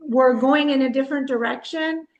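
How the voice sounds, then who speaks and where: Higher-voiced woman hosting a podcast. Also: normal speed, muffled recording, over a noisy crowd. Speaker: podcast host and interviewer. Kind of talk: conversational podcast interviewing.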